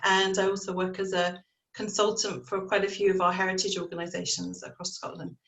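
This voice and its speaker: A middle-aged English woman speaking on a panel, candid and measured as she reflects. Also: fairly low pitch, little pitch movement, vocal fry, a bit bright. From England. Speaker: panelist, community organiser. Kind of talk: panel discussion. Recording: echoey room.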